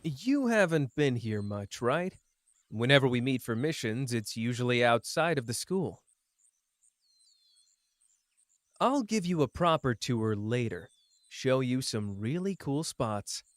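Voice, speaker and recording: Give the listener a cocky young male sorcerer, acted by a man in an intimate ASMR roleplay. He drawls at an unhurried pace with a swooping, animated melody, smug and teasing yet caring. The recording is close and dry. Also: mid-range voice, dry recording. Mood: breezy, welcoming.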